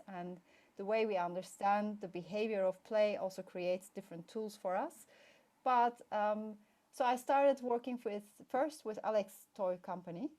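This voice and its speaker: A woman's voice: mid-range voice, a little mellow. A middle-aged woman from Turkey, a guest play expert, chatting casually and telling her story with many fillers. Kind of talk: casual interview chat.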